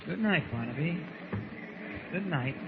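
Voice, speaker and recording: Kindly, higher-voiced gentleman hero. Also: lively intonation, measured pace, vocal fry. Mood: courteous. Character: kindly, calm, educated man, mild tutor and hero. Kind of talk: 1940s radio drama acting. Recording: dull, noisy old radio recording.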